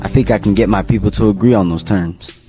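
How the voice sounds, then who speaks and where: A man playing a steady, folksy leader of a survivor town in an audio drama. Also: fairly low pitch, fast talker, faint music behind, lightly acted. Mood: measured.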